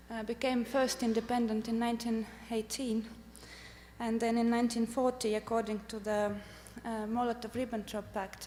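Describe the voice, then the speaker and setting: Halting Estonian woman speaking in a hall. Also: a little high, darkish tone. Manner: careful, halting delivery with frequent "uh" pauses. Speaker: artist presenting at a summit. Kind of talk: conference presentation with slides. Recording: echoey hall with faint hum.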